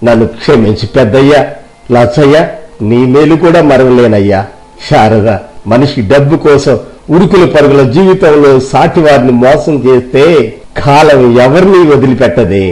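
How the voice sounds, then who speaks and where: A man's voice: mid-range voice, expressive, normal speed, a little mellow, lightly acted, low-quality recording. A man voicing a chastened, wealthy moneylender and husband in a moral story, speaking in a solemn, confessional manner. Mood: apologetic.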